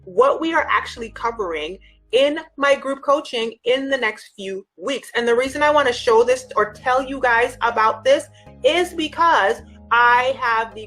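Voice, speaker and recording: A woman's voice: on the high side, fairly bright tone. Woman life coach chatting over background music. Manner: informal, chatty, addresses listeners directly as you guys. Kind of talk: casual explainer talk to online followers.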